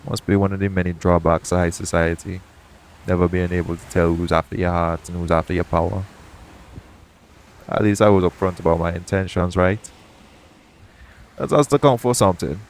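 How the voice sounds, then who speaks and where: A shady pirate captain, played by a man, speaks low and close to the listener in an audio roleplay. He is jaded but tender underneath, with frank, folksy phrasing and a teasing edge. A noticeable bed of ambient noise sits behind his voice. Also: steady tone, fairly quick, long pauses, rough recording. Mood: gentle, sincere. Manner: colloquial dialect grammar, gently teasing, frank. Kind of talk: intimate audio roleplay acting addressed to the listener. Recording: clear background noise, ambient sound bed.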